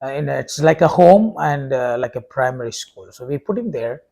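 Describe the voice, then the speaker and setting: Husky man, halting talk. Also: mid-range voice, lively intonation, warm tone. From India. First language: Tamil. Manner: halting, many uh fillers and restarts. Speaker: vlogger and preacher. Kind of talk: casual talk to camera.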